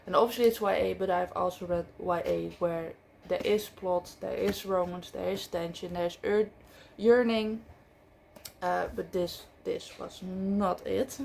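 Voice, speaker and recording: A woman chatting about books in English, which is not her first language, often pausing with fillers and correcting herself mid-sentence. Her voice has a light gravelly fry at mid pitch, and a faint hiss sits under the recording. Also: darkish tone. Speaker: book vlogger. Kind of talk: casual book review chat.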